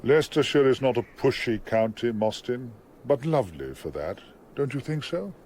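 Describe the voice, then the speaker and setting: An actor with an English accent playing a formidable, witty gentleman barrister in a radio drama, commanding and theatrical. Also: medium pitch, animated, moderate pace, slight background noise, close to an everyday voice. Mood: confiding, wry. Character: formidable, witty gentleman barrister, a doting but teasing father.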